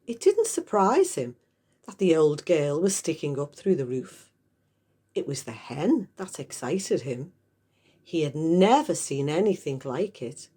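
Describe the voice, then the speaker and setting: A woman reading a children's story aloud with expressive, dramatic emphasis. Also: deep voice, slightly rough. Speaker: storybook reader. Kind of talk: animated scripted children's story narration.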